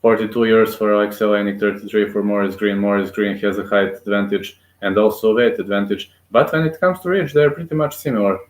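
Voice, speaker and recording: Brief: male fan commentator, lowish voice, excitable play-by-play, roomy echo. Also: fairly quick, few pauses. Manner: excitable, rooting aloud, frequent fillers. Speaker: amateur fight commentator. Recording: echoey room.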